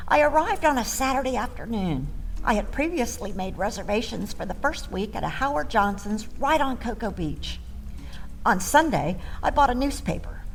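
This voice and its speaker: A woman's voice: on the high side, creaky, poor audio. An older woman performing a nostalgic, grateful granddaughter telling her life story in a sentimental, scripted radio theater monologue. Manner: reminiscent, sentimental. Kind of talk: scripted first-person storytelling.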